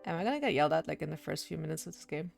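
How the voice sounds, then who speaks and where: A woman's voice: low voice, animated, racing, vocal fry, a little mellow, faint music behind. A woman doing casual let's-play commentary and reading game text aloud, sounding slightly under the weather. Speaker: streamer playing a horror visual novel.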